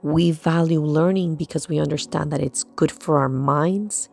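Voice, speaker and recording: A woman's voice: sits low, fairly even intonation, normal speed, vocal fry, darkish tone. A woman narrating a vlog as a homeschooling mother, speaking in short emphatic phrases and posing rhetorical questions. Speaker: homeschooling mother and video host. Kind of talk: scripted-sounding narration for a vlog. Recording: music playing behind the voice.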